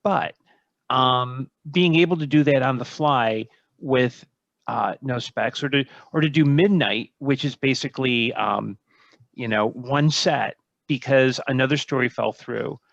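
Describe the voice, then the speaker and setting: A man giving opinions as a panelist in a casual discussion, measured and pausing as he thinks. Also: mid-range voice, creaky, a bit bright, no room sound, lo-fi sound.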